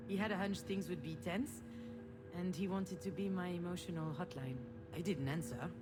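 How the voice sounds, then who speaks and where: Visual novel lead: actress as a rueful, self-effacing grown daughter, low-pitched, reflective confessional storytelling, prominent music bed. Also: female voice, normal speed, lightly acted. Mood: wry, self-deprecating. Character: self-deprecating, wistful adult daughter recalling family troubles. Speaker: narrator and protagonist of a visual novel. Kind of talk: confessional first-person scripted narration. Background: loud music.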